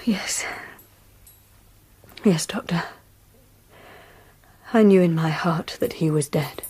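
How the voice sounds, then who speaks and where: A woman acting in a radio drama as a gentle, sorrowful young gentlewoman, speaking softly and politely with a breathy voice. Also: fairly low pitch, slow pace, heavily acted. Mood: grieving.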